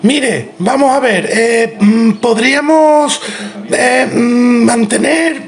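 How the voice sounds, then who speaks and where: A man playing a prissy, indignant neighbour on a prank call, halting and stiffly formal. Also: extremely high pitch, exaggerated voice. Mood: prissy indignation. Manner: halting, repetitive, stilted formality. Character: prissy, indignant, fussy neighbour complaining by phone. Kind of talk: improvised prank phone call.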